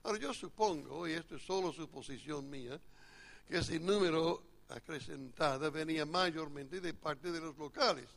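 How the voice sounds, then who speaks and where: A male preacher with a gravelly, fry-tinged voice, delivering a sermon with an American accent. His voice sits a little high for a man, and he explains things in a measured, teaching manner at a church retreat. English is his first language. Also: fairly bright tone.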